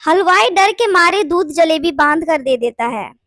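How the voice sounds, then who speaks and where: A woman's voice: very high-pitched, lively intonation, measured pace, a bit bright, no room sound, studio quality. A woman reading scripted narration for a children's bedtime story.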